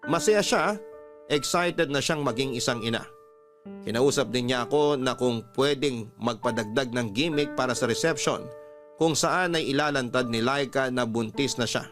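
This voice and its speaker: A man's voice: medium pitch, normal speed, a bit bright. A man reading a scripted first-person love story as a radio drama narrator. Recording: music bed under the voice.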